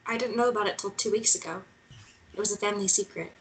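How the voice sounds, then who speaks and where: A woman's voice: medium pitch, fast, slight background noise, close to an everyday voice, low-quality recording. A woman playing an earnest, nervous woman searching for a missing relative, reading hesitantly and naturally at a table read. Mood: earnest, insistent. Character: earnest, nervous young woman seeking her missing aunt.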